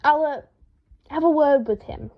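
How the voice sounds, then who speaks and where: A gentle young man, played by a woman reader, mild and a little hesitant, with a high voice. He sounds fond and placating, in audiobook style, on a slightly dull recording. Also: unhurried. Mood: sheepish. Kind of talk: audiobook character voice.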